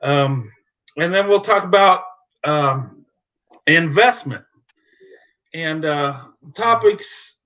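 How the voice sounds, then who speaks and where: A man's voice: on the high side, animated, slow, pauses often, bright, forceful tone. A man hosting a live stream in casual chat, reassuring and laughing often, with many "uh" fillers.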